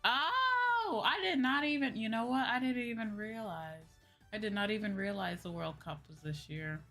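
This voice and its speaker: A woman's voice: a little high, animated, moderate pace, bright, forceful tone. A woman hosting a livestream, chatting casually with viewers in a rambling way, thinking aloud and circling back over her points. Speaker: livestream host and virtual streamer. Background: background music.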